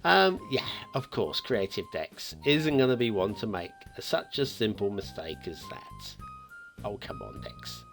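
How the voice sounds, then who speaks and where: A man's voice: on the low side, big pitch swings, unhurried, creaky, fairly bright tone, soft background music. A man hosting a game map review in a chatty, playfully teasing way, commenting casually and off the cuff.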